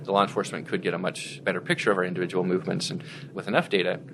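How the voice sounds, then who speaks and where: A young adult man explains his research in an interview. His voice is low, and clear ambient noise surrounds it. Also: little pitch movement, rapid-fire.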